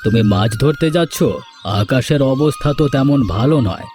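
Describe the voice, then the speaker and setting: A man's voice: mid-range voice, normal speed, darkish tone, soft background music, natural voice. A man voicing a concerned, chatty village husband in a fairy tale cartoon, speaking in an exclamatory way.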